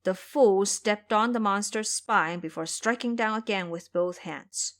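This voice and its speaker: A woman's voice: medium pitch, a little slow, a bit bright. A woman narrator delivering dramatic scripted storytelling.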